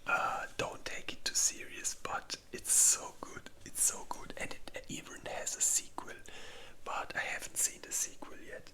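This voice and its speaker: An ASMR creator speaking entirely in a breathy whisper, listing movies in a halting, informal way. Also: bright, forceful tone.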